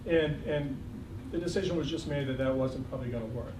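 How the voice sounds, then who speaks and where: A man's voice: medium pitch, brisk. A man in his sixties with a slight Boston accent gives a reflective, lightly joking farewell speech as a school superintendent, with frequent ums. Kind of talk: farewell speech at a board meeting. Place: school board meeting room. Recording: echoey, distant, noisy room recording.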